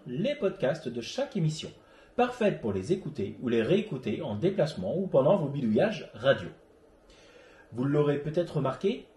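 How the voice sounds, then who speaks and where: A man's voice: a little high, warm tone, roomy sound. A man hosting an amateur radio web show, presenting from a prepared script. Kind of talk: scripted presentation, read narration.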